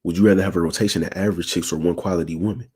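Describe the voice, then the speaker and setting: A man in his twenties with a raspy voice and a sore throat, talking casually to viewers on his livestream and checking in with slangy asides. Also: deep voice, fairly even intonation, racing, dark tone. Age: 20s. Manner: slangy, keeps asking "you feel me". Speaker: channel host.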